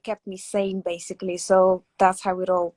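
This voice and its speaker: A woman's voice: lowish voice, level tone, moderate pace, darkish tone, no room sound. A young woman from Latvia hosting a casual video-call chat, speaking reflectively with many fillers and restarts. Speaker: host.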